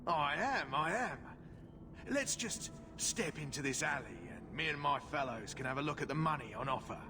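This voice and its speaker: An actor playing a predatory, swaggering street swindler in a video game, sly and menacing. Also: male voice, a little high, animated, moderate pace, background noise, natural voice. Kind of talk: video game villain acting.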